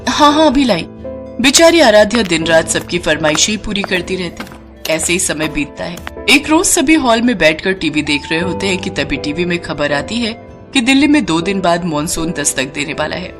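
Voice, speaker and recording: A woman's voice: lowish voice, sing-song, normal speed. Woman narrating a story over music.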